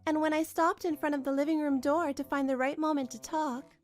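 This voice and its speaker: A woman reading scripted first-person drama narration in an expressive storytelling manner. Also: high-pitched, brisk, soft background music. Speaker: narrator and voice actor.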